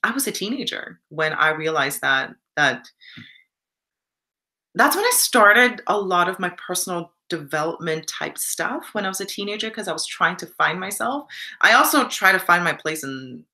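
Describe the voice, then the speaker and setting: Low, bright-toned Canadian woman chatting. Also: expressive, normal speed. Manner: warm and reflective, reads chat comments aloud. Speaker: live stream host. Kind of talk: casual live stream chat.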